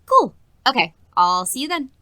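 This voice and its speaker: A woman's voice: high-pitched, big pitch swings, moderate pace, background noise. A woman playing a perceptive, friendly teenage student in an audio drama, casual yet pointed. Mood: curious, probing.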